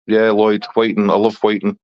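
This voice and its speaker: A man's voice: on the low side, flat delivery, normal speed, clear, resonant tone, no echo, highs cut off. A middle-aged man from Scotland with a Scottish accent, chatting casually on a live stream and answering viewers' comments.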